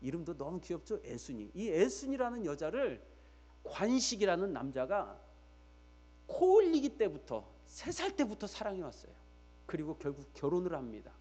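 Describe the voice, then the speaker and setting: A man's voice: sits high, wildly swooping pitch, normal speed, dark tone. A man preaching a church sermon as pastor, emphatically repeating key phrases and putting rhetorical questions straight to his listeners.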